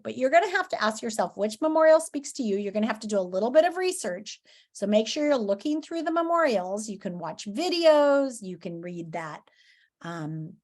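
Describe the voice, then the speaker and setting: A woman teacher reading a story aloud and giving lesson instructions to pupils, expressively and directly. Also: on the high side.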